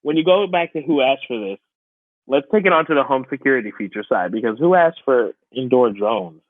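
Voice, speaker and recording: A man's voice: medium pitch, lively intonation, brisk, no echo. A man co-hosting a podcast in casual chat, opinionated and incredulous in the way he talks. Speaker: podcast co-host. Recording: thin phone-like radio sound, dry.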